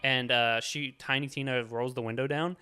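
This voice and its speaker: A man's voice: mid-range voice, steady tone, moderate pace, pushed and bright, smooth. A man chatting casually and bluntly, giving a scornful, opinionated film review as a show host. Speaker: movie review podcast host.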